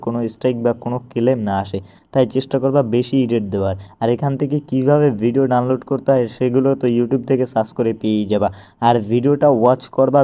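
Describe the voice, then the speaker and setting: Very mellow, quick-talking Bangladeshi man. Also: mid-range voice. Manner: instructional, repeats each step. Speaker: tutorial presenter. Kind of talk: informal step-by-step screen walkthrough.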